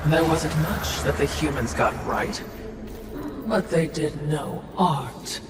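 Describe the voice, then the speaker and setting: A woman's voice: sits low, slow pace, very noisy. A woman playing a cultured, wry attendant to a warrior mistress in a video game. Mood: wry, musing. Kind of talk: video game cutscene acting. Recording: roomy, distant, with background music.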